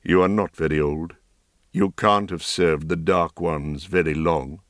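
A man voicing a grave, calm young wizard in a storybook, speaking quietly and gently. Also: sits low, measured pace, vocal fry, dry recording, clean studio sound, heavily acted. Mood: gentle. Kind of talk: audiobook character reading.

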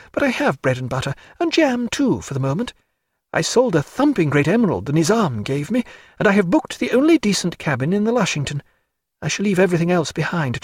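Brief: man playing a genteel lady, raised voice, quick and animated, slightly husky, dry read. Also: high-pitched, dry recording, voice pushed up, full character voice. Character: elegant lively woman, fond and teasing. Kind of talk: audiobook character voice.

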